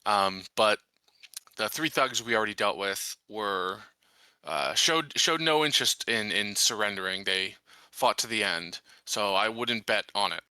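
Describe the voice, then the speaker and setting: A man playing a tabletop roleplaying game, reasoning through his character's dialogue in a measured, hesitant way. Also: on the low side, bright, forceful tone, smooth, no echo.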